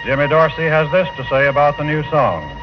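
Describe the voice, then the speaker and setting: A man's voice: on the high side, animated, brisk. A man announcing formally from a script in the style of 1940s radio. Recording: muffled old 1940 radio broadcast.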